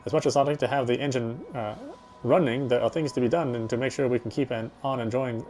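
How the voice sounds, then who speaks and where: A man's voice: mid-range voice, fairly even intonation, fast, a little mellow, faint music behind, close to an everyday voice. A man playing a wry, self-justifying British husband, confiding his brooding inner monologue as first-person game narration. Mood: resigned, reflective.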